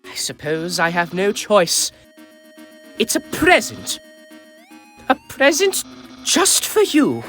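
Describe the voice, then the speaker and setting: A bombastic, lovably naive skeleton from a video game, full of himself and grandly theatrical. The pitch leaps wildly from low murmurs to high cries, while the pace stays deliberate and slow. Music plays faintly behind the voice. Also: male voice, wildly swooping pitch, full character voice. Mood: smug, coy. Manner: grandiose, theatrical, swinging from hushed asides to loud exclamations. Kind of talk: amateur video game character dub. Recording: voice over background music.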